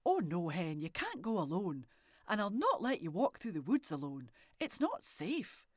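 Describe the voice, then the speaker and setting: A woman's voice: lowish voice, wildly swooping pitch, moderate pace, no echo, studio quality. A woman with a Scottish accent voicing a busy mother who speaks firmly but lovingly to her daughter. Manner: firm, scolding warmth.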